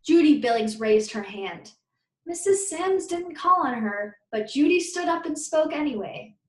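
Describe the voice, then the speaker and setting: A woman reads a children's story aloud in an expressive teacher's style. Her voice sits fairly high. The sound is clearly roomy and echoing. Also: a little slow. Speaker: teacher reading a book aloud.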